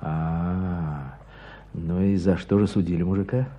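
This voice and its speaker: A man's voice: low voice, fairly even intonation, takes it slowly, mellow. A man acting in a radio drama as a reflective writer out hunting, speaking lyrically and without hurry. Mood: curious. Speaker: narrator, a writer and hunter. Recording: dull sound, outdoor ambience noise.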